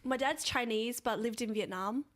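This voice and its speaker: A woman's voice: a little high, normal speed, fairly bright tone. A young woman, a podcast guest, chatting casually and rambling with many fillers and restarts. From Australia. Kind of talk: casual chat.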